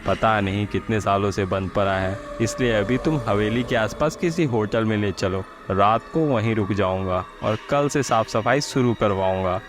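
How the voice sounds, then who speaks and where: A man's voice: fairly low pitch, moderate pace, few pauses, background noise, natural voice. A man voicing a self-assured, sceptical husband who explains and reassures firmly in a horror story. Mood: firm, dismissive. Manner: firm, explanatory.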